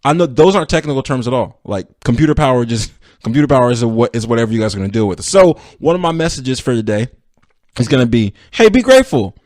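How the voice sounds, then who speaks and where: A man's voice: medium pitch, expressive, fast talker, a little mellow, no echo. A young man hosting a casual solo sports podcast, rambling and self-deprecating as he talks straight to his listeners. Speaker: podcast host.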